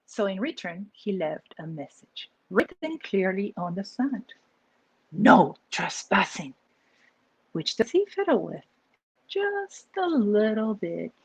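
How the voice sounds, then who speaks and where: A woman from Peru with a Peruvian accent, an author reading her picture book aloud with expressive storytelling and asides. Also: mid-range voice, unhurried, husky, no room sound, rough recording.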